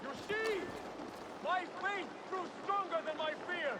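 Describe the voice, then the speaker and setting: An earnest young male assassin scholar in a video game cutscene. His voice sits high for a man, and he speaks with measured, formal conviction, like a mentor giving solemn instruction. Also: background noise. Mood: urgent, shouting.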